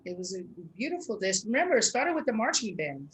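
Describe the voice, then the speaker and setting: A woman's voice: on the high side, very animated, quick pace, poor audio. Lady host chatting away informally, asking stuff, bit of background noise. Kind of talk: casual chat interview.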